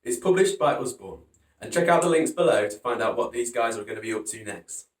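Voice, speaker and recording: A man's voice: medium pitch, animated, brisk. A man hosting a storytime, narrating a children's picture book in a dramatic, emphatic way. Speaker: storytime host reading picture books. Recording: roomy echo, distant from microphone.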